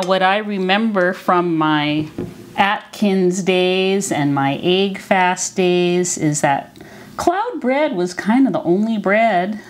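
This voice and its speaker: A woman's voice: medium pitch, a little hiss or hum. An older woman, around her sixties, casually explaining as she demonstrates cooking in a conversational way. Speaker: cooking video host. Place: home kitchen, indoors.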